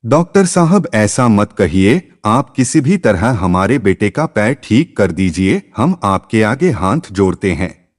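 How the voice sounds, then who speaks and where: A man's voice: fairly low pitch, very animated, a little slow. Man voicing desperate pleading parent, long reverb. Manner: pleading, imploring.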